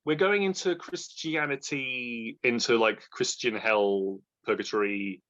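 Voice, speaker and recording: A man's voice: medium pitch, measured pace, highs cut off. A man co-hosting a casual book chat, rambling and joking as he goes. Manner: jokey, rambling, animated. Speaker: booktuber co-host.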